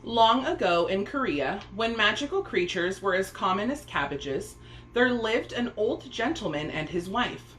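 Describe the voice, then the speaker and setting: A woman reading a children's story aloud as an expressive narrator. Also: mid-range voice, a little slow. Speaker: storybook reader. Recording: echoey room, faint background noise.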